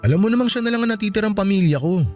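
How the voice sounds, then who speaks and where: Meek young husband, highish voice. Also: male voice, lively intonation, rapid-fire. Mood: apologetic, pleading. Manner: hesitant, pleading, soft-hearted. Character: meek, apologetic young husband who cannot say no. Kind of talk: radio drama acting in dialogue. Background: background music.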